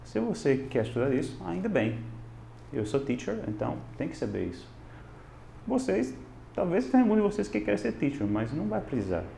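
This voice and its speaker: A man's voice: on the high side, fairly quick, vocal fry, echoey room, a little hiss or hum. A man teaching English in a casual video lesson, speaking Portuguese as a second language and mixing in English examples. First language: non-Portuguese. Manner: animated and expressive, switching between Portuguese and English.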